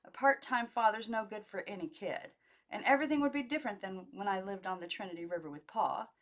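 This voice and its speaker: A woman's voice: medium pitch, a little fast, natural voice. A woman voicing a brash, plucky young mother in an audiobook, with emphatic, colloquial delivery. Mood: spirited. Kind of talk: audiobook character dialogue.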